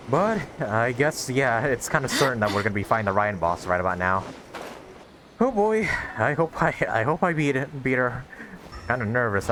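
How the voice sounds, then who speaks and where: Young guy playing a game n commentating, pretty deep voice, music in the back. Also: a bit bright. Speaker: gaming video creator. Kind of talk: casual playthrough commentary.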